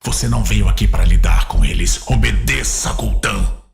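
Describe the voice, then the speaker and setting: Male, Brazilian, imperious demon lord for fantasy audio drama; heavily creaky, menacing, commanding, over music. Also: on the low side, normal speed. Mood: cold, commanding. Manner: commanding, terse orders. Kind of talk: dramatic audio-series acting. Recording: music behind the voice.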